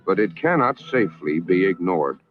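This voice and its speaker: An American man narrating a scripted 1960s public information film in a formal, measured, emphatic manner. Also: low-pitched, big pitch swings. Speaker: documentary narrator. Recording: narrow-band vintage film soundtrack, music behind.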